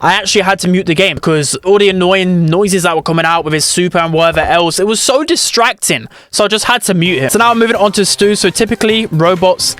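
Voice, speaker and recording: A man's voice: sits high, quick pace, hardly any pauses, a bit bright, dry recording. A man hosting a gaming video, giving casual ranking commentary. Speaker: host.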